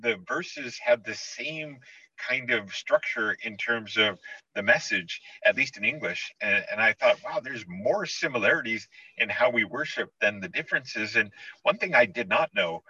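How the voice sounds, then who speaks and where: Husky-voiced host guy, kinda far off and muffled, dry sound, talks fast. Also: mid-range voice, animated, bright, forceful tone, no room sound. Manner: quick, eager, repeats words. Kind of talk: casual interfaith conversation.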